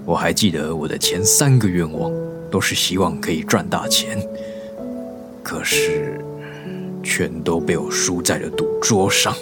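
Worn regretful dad, deep voice, slow n heavy, radio drama w/ loud music behind. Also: male voice. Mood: bitter regret. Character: regretful ailing middle-aged father, former gambler.

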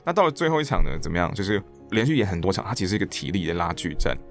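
A man chatting casually as an interview guest, explaining things through animated anecdotes and repeating words for emphasis. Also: sits low, very fast, vocal fry, faint music behind. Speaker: podcast guest, theatre director and actor.

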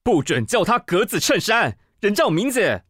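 Theatrical, swooping Taiwanese magazine director. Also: male voice, high-pitched, very animated, normal speed, fairly bright tone, slight background noise. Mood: indignant, insistent. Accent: Taiwanese Mandarin. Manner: theatrical, bossy, haughty. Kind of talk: radio drama acting.

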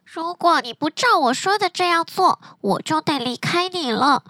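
Woman doing a clever storybook fox, high voice, bright tone, coaxing, dry close sound. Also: expressive, normal speed, dry recording, full character voice. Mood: advising. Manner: coaxing, instructive. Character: clever helpful little fox, male. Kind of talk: bedtime storybook reading.

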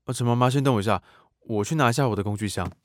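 An actor playing a polite but secretly scheming young student in a radio drama, with a Taiwanese Mandarin accent. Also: male voice, mid-range voice, rapid-fire, a little hiss or hum, lightly acted. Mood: polite, helpful. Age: young adult. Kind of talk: radio drama acting with inner monologue.

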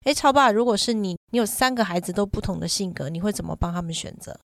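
A middle-aged woman chats as a podcast co-host, laying out her thoughts in an explanatory, step-by-step way. Her pitch sits in the middle for a woman, and the sound is dry and close. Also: quick pace, vocal fry, dry recording. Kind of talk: conversational parenting podcast chat.

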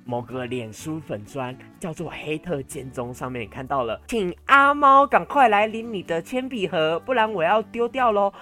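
A young man of high-school age with a Taiwanese Mandarin accent, telling stories casually and playfully as a podcast host, with a touch of drama. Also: pushed and bright. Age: teens to young adult, high school senior. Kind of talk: casual storytelling chat.

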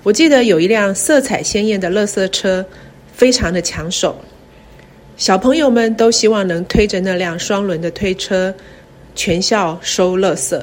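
Middle-aged Taiwanese Mandarin woman, measured narration. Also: medium pitch, a little hiss or hum. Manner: measured, short careful phrases. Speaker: university president. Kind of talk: scripted reflective narration. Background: background music.

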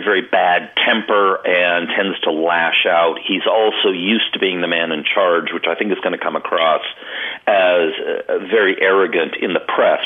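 A man co-hosting a news talk show, giving political commentary in a hesitant way with many pauses and restarts, over a phone line. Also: low-pitched.

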